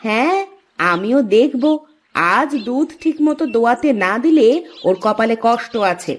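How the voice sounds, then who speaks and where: A woman's voice: on the high side, animated. A woman voicing a grumbling, hardworking village housewife in a cartoon, with a scolding domestic manner. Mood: exasperated. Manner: complaining, brisk domestic scolding. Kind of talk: cartoon dialogue.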